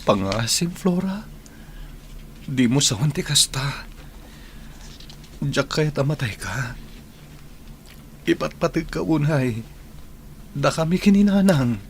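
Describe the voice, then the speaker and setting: A man's voice: on the high side, lively intonation, slow, long pauses, slight background noise. A man playing a devoted, sorrowful husband in a radio drama, speaking earnestly and pleadingly. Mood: pleading, anguished. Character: devoted, anguished husband at a sickbed.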